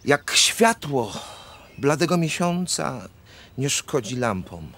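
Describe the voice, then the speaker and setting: An actor playing a dreamy, affected middle-aged romantic count in a radio drama, speaking in a poetic, theatrical way. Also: male voice, mid-range voice, big pitch swings, slow, creaky, fairly bright tone, exaggerated voice. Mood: courtly, lofty.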